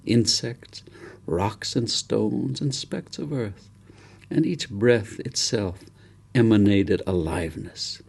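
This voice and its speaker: A man reading his own novel aloud as literary narration, solemn and reverent, with deliberate phrasing and dramatic pauses. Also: lowish voice, vocal fry, warm tone, husky.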